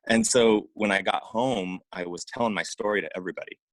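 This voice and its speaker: A man from Oregon speaking as an interview guest, telling personal stories casually in an informal, halting way with repeated phrases. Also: lowish voice, no echo, rough recording. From Oregon, United States. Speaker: interview guest, acupuncturist and massage therapist.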